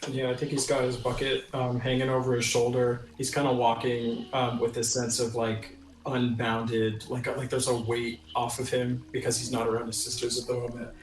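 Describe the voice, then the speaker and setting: A man's voice: mid-range voice, completely flat delivery, normal speed, hardly any pauses, distant mic, a little hiss or hum. A man playing a tabletop game, improvising narration of what his character does. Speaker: player. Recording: roomy echo, away from the mic.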